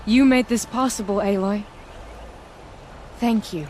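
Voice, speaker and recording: A woman's voice: mid-range voice, a little slow, darkish tone, soft background music, natural voice. A woman playing a proud, steely noble huntress in a video game, formal and poised in her speech. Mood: warm, satisfied.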